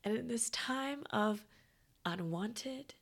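A woman podcast host with a hoarse, raspy voice she has partly lost, talking in a halting half-whisper in a casual, reflective monologue. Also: on the high side, expressive. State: hoarse, losing her voice.

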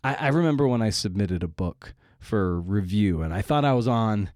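A man's voice: fairly low pitch, animated, moderate pace, a little mellow, no echo, clean studio sound. A man hosting a podcast in a casual, conversational style, with an informal, wry and self-deprecating manner. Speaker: podcast host and interviewer.

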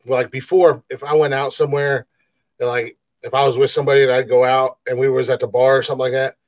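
A man with a big, loud voice talks in a loose, rambling way, stumbling over repeated words and breaking into laughs. He sounds like a podcast co-host in his thirties, recorded very close and dry with no room sound at all. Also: mid-range voice, flat delivery, fast, dry recording. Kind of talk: casual podcast chat.

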